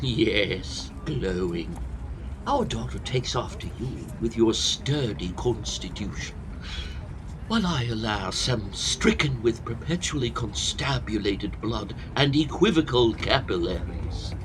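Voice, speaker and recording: A man's voice: lowish voice, expressive, slow, background noise. A man acting a fussy, self-pitying older hypochondriac professor in a comic period farce, lamenting in florid theatrical style.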